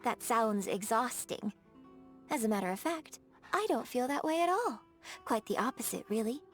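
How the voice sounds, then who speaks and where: Young female opera performer for a video game, played by an actress: high, melodious voice, courteous, formal, elegant diction, music underneath. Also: expressive, normal speed, close to an everyday voice. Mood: polite, composed. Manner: formal, polite, carefully enunciated. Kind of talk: scripted game character dialogue.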